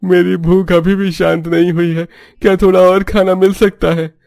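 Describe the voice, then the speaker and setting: A humble, starving utensil seller from a cartoon tale. His high voice is gravelly and flat in melody as he pleads. The sound is close and dry. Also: moderate pace, dry recording. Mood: hungry pleading. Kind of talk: Hindi cartoon story voice acting.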